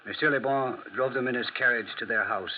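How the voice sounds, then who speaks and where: A man's voice: mid-range voice, a little fast, a little hiss or hum, natural voice. A man playing a self-assured, courteous amateur detective in a 1940s radio drama, speaking in precise, formal, theatrical oratory. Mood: measured storytelling. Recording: muffled vintage radio recording.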